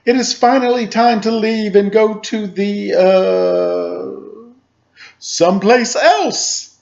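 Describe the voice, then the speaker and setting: A booming storybook papa dinosaur, played by a man reading aloud. He is loud and scheming, pushed well up in pitch, with theatrical exclamations and a slightly dull recording. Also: slow, creaky, full character voice. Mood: booming, eager.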